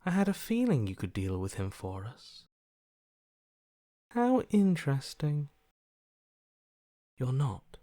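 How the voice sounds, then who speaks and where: An actor playing a detached, emotionless inner voice in a video game, dryly sardonic in manner. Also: male voice, medium pitch, wildly swooping pitch, slow, pauses often, mellow, no echo, studio quality. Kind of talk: scripted game voice acting.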